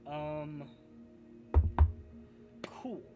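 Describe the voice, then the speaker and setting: A man's voice: fairly high pitch, extremely slow, loud music behind the voice. A man who is a streamer and voice actor, talking casually and reacting animatedly to his chat.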